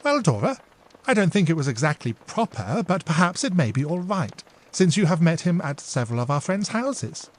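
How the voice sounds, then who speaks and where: A poised, warm-hearted elder sister, as a male reader puts her on, teasing and exclaiming in a high, lively voice that leaps up and down. She stays dignified even when she scolds. It is a storybook voice for an audiobook. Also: moderate pace. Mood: reasoning.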